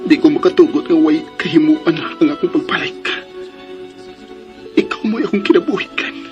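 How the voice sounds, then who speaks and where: Actor as a lovestruck suitor, high male voice, pleading melodrama, dull radio recording, music underneath. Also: normal speed, lightly acted. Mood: desperate. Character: lovestruck, pleading man insisting he is her lost sweetheart. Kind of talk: radio drama acting.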